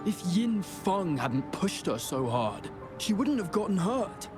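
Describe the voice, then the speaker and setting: A resentful henchman who speaks bluntly and reproaches his boss. His voice is quite high, and the game's music plays clearly behind him. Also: big pitch swings, slow pace, exaggerated voice. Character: resentful male gang underling, blunt. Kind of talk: video game voice acting.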